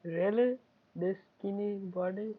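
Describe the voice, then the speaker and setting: A woman game streamer giving casual live commentary in short, halting reactions. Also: medium pitch. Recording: dull stream audio under loud game music.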